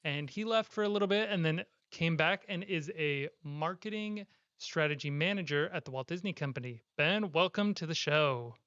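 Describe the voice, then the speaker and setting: Upbeat young male podcast host, smooth voice. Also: a little high, moderate pace, a bit bright. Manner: upbeat, playful. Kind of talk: casual interview chat. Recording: slightly dull lo-fi sound.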